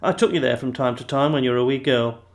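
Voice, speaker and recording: An actor playing a gentle, chatty elderly grandfather in an audio play, fond, reflective and a little halting. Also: medium pitch, close to an everyday voice. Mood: reminiscing. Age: older.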